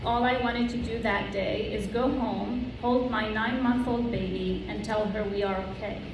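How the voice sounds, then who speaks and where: A woman, giving an earnest prepared speech to her club and reading slowly from notes. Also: mid-range voice, fairly even intonation. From Lebanon. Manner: slow, earnest, read from notes. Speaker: club member giving a talk. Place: club meeting room. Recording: roomy room from far off, with background noise.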